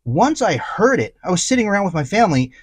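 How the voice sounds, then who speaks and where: A man with a slightly high voice presents a casual product review. His intonation swings widely and he leans on key words for emphasis. The sound is dry, with little room in it. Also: sing-song, fast, slightly rough, no room sound. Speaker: product reviewer, channel host.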